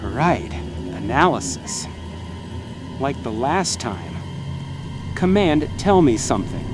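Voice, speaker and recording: A man playing a weary, bitter field soldier reporting over the radio in tense, halting phrases. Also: mid-range voice, sing-song, over music. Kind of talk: dramatic radio-transmission acting. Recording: clearly noisy radio transmission.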